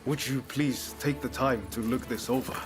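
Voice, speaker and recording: A man playing a thoughtful, earnest young reformer in a samurai video game, speaking in a measured, reflective way. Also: medium pitch, steady tone, over music, natural voice. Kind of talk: video game cutscene acting.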